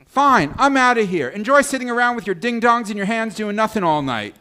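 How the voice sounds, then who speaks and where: A defensive, exasperated sitcom woman, read by a man, speaking high with lively swings of pitch. The lines come in a live table read, as if cornered by nosy friends. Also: normal speed.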